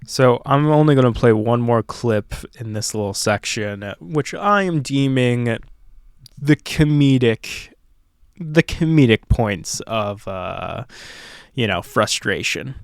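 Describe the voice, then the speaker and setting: Slow, earnest male podcast host. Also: mid-range voice, lively intonation, gravelly, clear, resonant tone, no echo, studio quality. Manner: measured, earnest, reflective. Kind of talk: podcast commentary.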